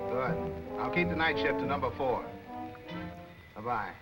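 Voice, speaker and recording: An actor playing a defiant, shifty construction boss, curt and blustering, in a 1940s film serial style. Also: male voice, mid-range voice, measured pace, lightly acted. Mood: guarded, defiant. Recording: old film soundtrack under loud music.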